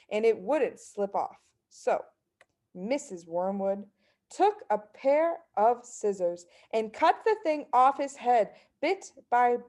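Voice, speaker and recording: High-voiced woman reading a children's story. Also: mellow. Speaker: story reader. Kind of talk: expressive children's audiobook narration.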